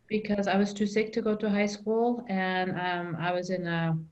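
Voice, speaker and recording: A woman's voice: mid-range voice, little pitch movement, normal speed, a little mellow, dull audio. A middle-aged woman from Norway with a Norwegian accent, telling her story as an interview guest, with frequent ums and restarts. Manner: hesitant, many ums and restarts.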